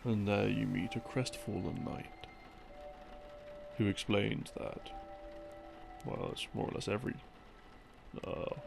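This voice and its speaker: A man giving a halting, unhurried sleep-aid ramble, explaining things from memory and trailing off as he muses. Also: on the low side, creaky. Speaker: audio creator playing a rambling boyfriend. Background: soft background music.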